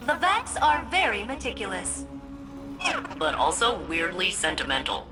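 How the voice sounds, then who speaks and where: A woman's voice: medium pitch, wildly swooping pitch, a little slow. A woman playing a dry, clever technical expert in a video game, wry and matter-of-fact. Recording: loud music over voice.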